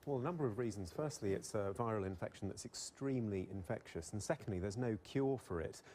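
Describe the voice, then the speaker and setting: Mellow male doctor, lowish voice. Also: a little fast, a little hiss or hum. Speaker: family doctor and health presenter. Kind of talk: expert interview commentary.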